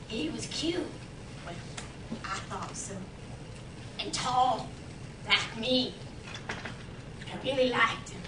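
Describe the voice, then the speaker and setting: Elderly woman acted by a woman, flustered and blunt stage monologue, heard distantly in an echoey room. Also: on the high side. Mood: reluctant, wistful. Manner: halting, blunt, easily flustered. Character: reluctant, embarrassed elderly woman recalling her youth. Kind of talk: theatrical monologue performed on stage. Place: performance room, recorded from the back. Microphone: camera at back of room. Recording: roomy hall, distant mic, noisy camera audio.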